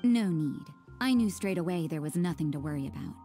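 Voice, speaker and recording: A woman's voice: on the low side, fairly quick, music in the background. A woman voicing an aloof adult nun in a game cutscene, talking curtly in short clipped lines. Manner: curt, flat, clipped. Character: cool aloof adult nun, blunt and terse.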